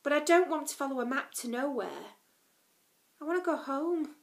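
A woman reading aloud and voicing Con, an angry, homesick young girl who shouts and sounds bitter and close to tears. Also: high-pitched, animated, normal speed, gravelly, voice pushed up. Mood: furious.

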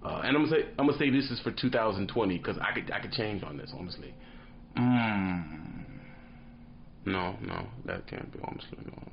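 A man's voice: lowish voice, normal speed, gravelly, lo-fi sound, slight background noise. A man in his thirties, a truck driver vlogging casually to camera in a small dim room, telling stories in slang and acting out little scenes. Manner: animated storytelling, slangy, acts out little scenes.

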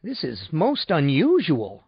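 Casting: male reader as fussy, unctuous salesman, pompous and deferential, lively high-pitched delivery, audiobook. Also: slow, creaky, breathy edge, dry recording, lo-fi sound, full character voice. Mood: indignant, pained. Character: unctuous, easily shocked male insurance salesman.

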